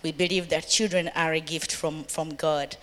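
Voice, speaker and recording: A woman from Uganda, middle-aged, addresses a church gathering about raising a family. Her voice sits low, and she speaks earnestly, laughs often and quotes scripture. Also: steady tone, moderate pace, a bit bright.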